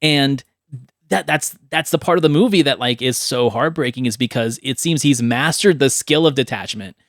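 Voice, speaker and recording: Brief: male podcast host, mid pitch, loose conversational delivery, dry close sound. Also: normal speed, no room sound. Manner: conversational, tags lines with "right?", casual swearing. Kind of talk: casual movie chat.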